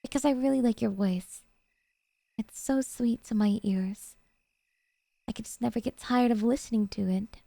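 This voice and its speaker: Woman acting a soft, mellow, obsessive yandere girlfriend. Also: fairly high pitch, creaky, dry recording. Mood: sheepish, adoring. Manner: coaxing, sweetly earnest, hesitant pauses. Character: doting, obsessive, jealous young yandere girlfriend. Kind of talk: ASMR roleplay.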